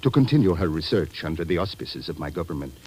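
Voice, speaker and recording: An actor playing a grave, courteous government doctor, speaking formally and solemnly in an old radio drama. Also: male voice, sits low, big pitch swings, fast talker, darkish tone, slightly rough, exaggerated voice. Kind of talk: 1940s radio acting.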